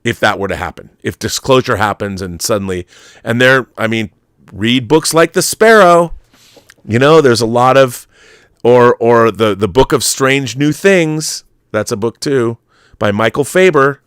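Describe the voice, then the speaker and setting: A man's voice: mid-range voice, animated, a little slow, fairly bright tone, smooth, dry recording, clean studio sound. An American man in his fifties hosting a casual talk show, rambling animatedly and emphatically with opinionated tangents and the odd swear word. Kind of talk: casual, rambling opinionated live chat answering viewer messages.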